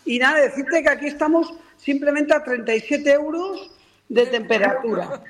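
A man phoning in to a radio show, unhurried and deadpan. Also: very high voice, crowd noise, poor audio. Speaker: phone-in guest. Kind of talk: phone call on radio.

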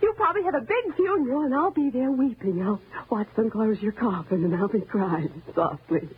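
An actress playing a timid, resentful wife turned murderous, in old-time radio thriller style, menacing and bitter. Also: female voice, a little high, normal speed, close to an everyday voice. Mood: tearful hatred. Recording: noisy, narrow-band old radio recording.

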